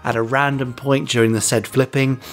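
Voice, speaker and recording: A man's voice: mid-range voice, flat delivery, normal speed. A man co-hosting a podcast in casual banter, teasing and playfully mock-formal. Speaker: podcast co-host. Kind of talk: casual scripted-loose banter.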